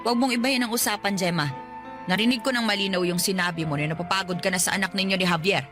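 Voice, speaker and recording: A woman's voice: mid-range voice, quick pace, over music, close to an everyday voice. An actress playing a cruel, haughty older mother-in-law in a Filipino radio drama, scolding with sarcasm and contempt. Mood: accusing, contemptuous.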